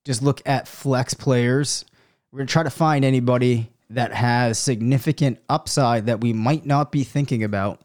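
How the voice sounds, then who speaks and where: A man with a cold, a little hoarse, hosting a sports podcast alone and thinking out loud through listener questions, with many ums and hedges. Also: mid-range voice, fairly even intonation, moderate pace. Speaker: fantasy football podcast host. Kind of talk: live solo Q&A, answering listener questions.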